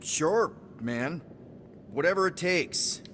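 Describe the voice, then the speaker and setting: A man's voice: a little high, lively intonation, slow pace, fairly bright tone, slight background noise. An actor playing a casual, joking male space captain.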